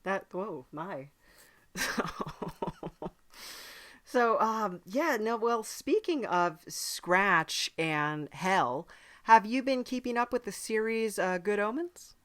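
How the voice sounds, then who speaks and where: A woman's voice: mid-range voice, lively intonation, slow, fairly bright tone, no room sound. A woman hosting a casual comedy podcast interview, chatty, agreeable and a little hesitant. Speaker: podcast host.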